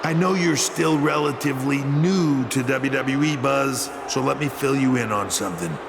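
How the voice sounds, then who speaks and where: An actor playing an arrogant, menacing wrestling boss in a video game, laying down the law in a condescending, commanding way. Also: male voice, mid-range voice, moderate pace, gravelly, loud music behind the voice, natural voice.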